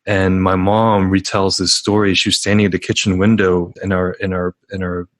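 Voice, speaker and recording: A man's voice: low-pitched, flat delivery, moderate pace, dry recording. A middle-aged man talking earnestly as a podcast guest, telling stories with frequent restarts. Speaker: podcast guest, startup founder. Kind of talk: casual podcast interview.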